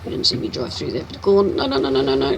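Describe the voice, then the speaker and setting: A woman's voice: a bass voice, expressive, quick pace, muffled recording, slight background noise. An older woman, chatting casually and teasingly as she streams a farming game. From New Zealand. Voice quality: croaky. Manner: chatty, teasing, narrates her own driving. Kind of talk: casual live-stream chat while playing.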